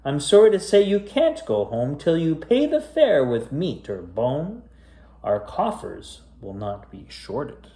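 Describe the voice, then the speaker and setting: A man's voice: a little high, big pitch swings, slow, warm tone, full character voice. A man voicing a sinister, bony bus driver from a storybook, with hissing, moaning menace. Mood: mournfully threatening. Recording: roomy, echoey sound.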